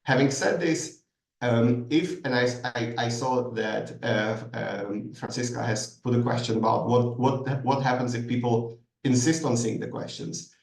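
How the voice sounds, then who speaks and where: A man's voice: medium pitch, a little slow, gravelly, darkish tone. A man answering questions as a guest expert in a masterclass, thoughtful and hesitant, with many ums and restarts, heard over a video call. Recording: echoey room, distant mic.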